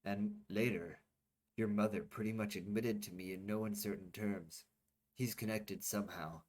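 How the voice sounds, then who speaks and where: A man voicing an earnest male colonel in a plain, steady voice close to his own. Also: fairly low pitch, moderate pace, lightly acted.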